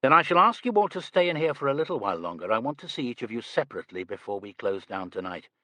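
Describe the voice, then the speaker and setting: A man's voice: medium pitch, big pitch swings, sharp tone, no echo. A man voicing a courteous, composed police superintendent asking questions. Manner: courteous, measured.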